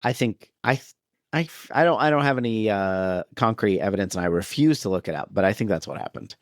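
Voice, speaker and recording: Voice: low voice, expressive, a little fast, no room sound, clean studio sound. A podcast co-host talking casually in a conversation about movies. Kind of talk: casual movie discussion chat.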